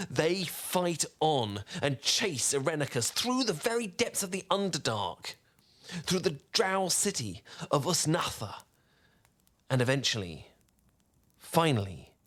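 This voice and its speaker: A man playing a charming, theatrical wizard who tells a bedtime tale softly and intimately, with dramatic pauses, in an ASMR roleplay style. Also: mid-range voice, lightly acted. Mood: dramatic storytelling. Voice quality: soft-spoken. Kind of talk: soft-spoken ASMR roleplay storytelling.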